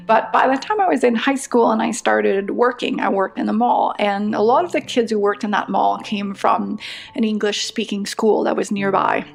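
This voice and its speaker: A woman's voice: a little high, creaky. A middle-aged woman from Quebec with a Quebec French accent, a French speaker talking in English as a podcast guest, reflective and chatty. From Quebec, Canada. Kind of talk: conversational interview.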